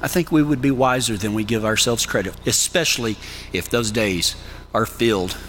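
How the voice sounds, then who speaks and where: Folksy Texan cowboy preacher, bright voice. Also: male voice, on the low side, moderate pace, a little hiss or hum. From Texas. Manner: folksy, warm-humored, calls listeners "man". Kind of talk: sermon with numbered tips.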